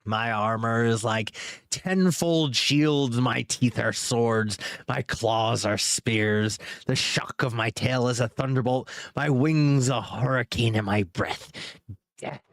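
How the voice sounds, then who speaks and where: A man voicing a huge ancient male dragon, speaking slowly and menacingly with boastful pride. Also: mid-range voice, creaky, a bit bright, dry recording. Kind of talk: audiobook reading.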